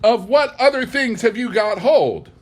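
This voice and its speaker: A man voicing an astonished, kindly older housekeeper woman in an audiobook, in a high, exclaiming manner. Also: lively intonation, unhurried, exaggerated voice.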